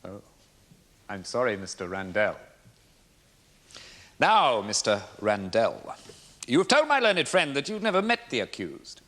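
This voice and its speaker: An actor playing a wry, needling defence barrister in a courtroom drama, deliberate and theatrically courteous as he probes. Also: mid-range voice, expressive, pushed and bright. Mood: pressing. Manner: sardonic, deliberate, rhetorical pauses. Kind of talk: courtroom drama cross-examination.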